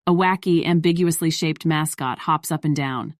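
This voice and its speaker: A woman's voice: low-pitched, normal speed, dry recording, studio quality. A woman narrator reading scripted story narration for an audio drama.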